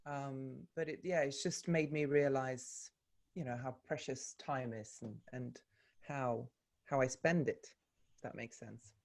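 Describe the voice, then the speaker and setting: Woman, from Sweden, native Swedish speaker, low voice, interview guest, video call, thoughtful with restarts. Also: fairly even intonation, unhurried, a little mellow. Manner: thoughtful, with frequent ums and restarts. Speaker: actor and voice coach, interview guest. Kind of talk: relaxed conversational interview.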